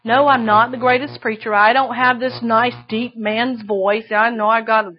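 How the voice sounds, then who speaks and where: A woman preaching a sermon with a shrill voice, emphatic and repeating phrases for stress. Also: flat delivery, moderate pace, dull audio.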